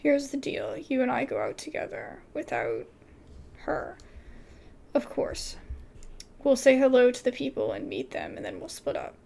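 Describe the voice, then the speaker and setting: A woman hosting a casual gaming video, reading game dialogue aloud haltingly and adding her own asides. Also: sits high, huge pitch swings, gravelly, darkish tone.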